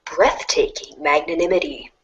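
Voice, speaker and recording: A woman's voice: deep voice, moderate pace, fairly bright tone, husky, lo-fi sound, full character voice. A woman playing a wry, haughty teenage girl who speaks with ornate, dry irony in a storybook fan dub. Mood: dry, ironic.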